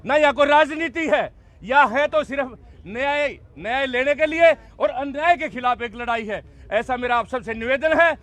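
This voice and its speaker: A man's voice: very high-pitched, moderate pace, fairly bright tone. A man speaking at a protest and to a reporter in an earnest, formal, appealing manner. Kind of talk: public address and interview.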